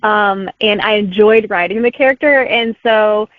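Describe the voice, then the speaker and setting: A woman author answers interview questions in a relaxed way with plenty of "um"s. Her voice comes through a thin, phone-like line with a dry sound. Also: mid-range voice, a little slow, dry recording. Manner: easygoing, with filler "um"s. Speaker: author guest. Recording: tinny phone-like remote line.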